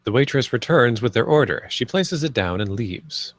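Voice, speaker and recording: A man's voice: mid-range voice, animated, normal speed, no room sound. A man reading stage directions as the narrator of a film script read. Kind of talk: scripted narration, present tense.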